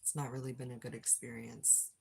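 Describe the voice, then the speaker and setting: An older woman with a low, husky voice chatting casually with viewers on a phone livestream. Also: little pitch movement, brisk, darkish tone. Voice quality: low, gravelly voice. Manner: chatty, reads and answers chat aloud. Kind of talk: casual live chat with viewers. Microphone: phone without external mic.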